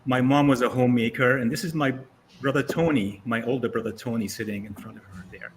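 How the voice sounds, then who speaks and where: A Syrian-born man in his sixties tells his life story in a relaxed, rambling way, full of "uh"s and restarts. His voice sits at an ordinary middle pitch. A faint hiss of background noise runs under it. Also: fast. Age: 60s. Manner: conversational storytelling with frequent fillers. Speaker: guest speaker. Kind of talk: casual presentation over video call.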